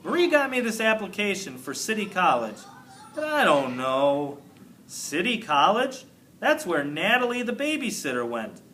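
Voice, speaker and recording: A man's voice: sits high, big pitch swings, moderate pace, sharp tone, slight background noise, voice pushed up, exaggerated voice. A man voicing a good-natured, somewhat grand male night janitor in a children's storybook. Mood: musing. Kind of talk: storybook character reading.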